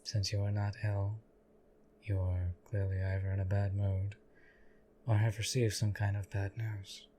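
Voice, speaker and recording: A man playing a stoic, loyal knight in an audio roleplay, speaking formally and deadpan to his liege in measured, courtly phrases. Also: fairly low pitch, a little hiss or hum, natural voice. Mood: earnest, deadpan. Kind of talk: scripted audio roleplay addressed to the listener.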